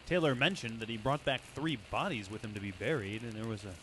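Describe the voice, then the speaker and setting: An actor playing an inquisitive, skeptical investigator in old-time radio drama style. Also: male voice, medium pitch, fast, natural voice. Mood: pondering. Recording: echoey room, faint noise.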